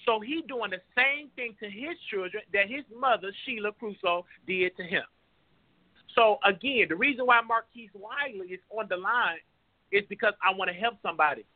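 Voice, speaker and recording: Young male caller, twenties, passionate and plainspoken, higher voice, dry phone-line sound. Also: no room sound. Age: 20s. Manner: impassioned, speaks in vernacular. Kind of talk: emotional call-in testimony.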